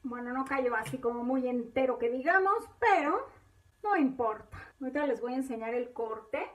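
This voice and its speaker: A woman's voice: high-pitched, lively intonation, moderate pace. A woman, hosting a home cooking tutorial in her kitchen and chatting viewers through each step. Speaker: cooking channel host.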